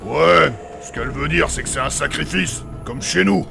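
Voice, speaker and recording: A man playing a brutish, impatient, bloodthirsty adventurer in a comedic fantasy audio drama, with a gruff, crude, slangy way of talking. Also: fairly high pitch, moderate pace. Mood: casually bloodthirsty. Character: brutish, impatient, bloodthirsty adventurer who wants to bash everything. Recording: music behind the voice.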